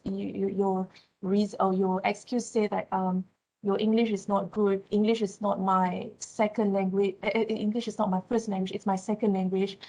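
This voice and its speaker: A woman's voice: mid-range voice, a little mellow, rough recording. Malaysian woman lecturing with tag questions. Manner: frequent "uh", tag questions "right?" and "yeah?". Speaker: university lecturer. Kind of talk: classroom lecture.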